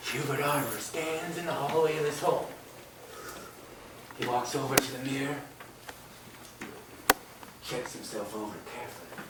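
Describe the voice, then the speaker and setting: An actor playing a sinister hermit storyteller, narrating a radio horror tale in a melodramatic, menacing, drawn-out way. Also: male voice, fairly high pitch. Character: the Hermit, sinister cackling storyteller of murder tales. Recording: distant, echoey, noisy old radio recording.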